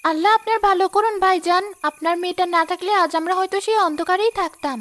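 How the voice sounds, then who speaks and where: A woman's voice: very high voice, fast talker, fairly bright tone, pitched above the performer's own voice. A woman voicing a poor village mother in a cartoon, talking in a raised, reproachful, pleading way. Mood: grateful. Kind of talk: cartoon voice acting.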